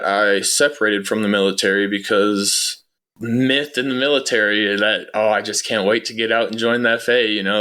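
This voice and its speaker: Male podcast guest, lower voice, matter-of-fact and conversational, casual interview delivery. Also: fairly bright tone, clear, resonant tone. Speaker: interview guest, former air traffic controller.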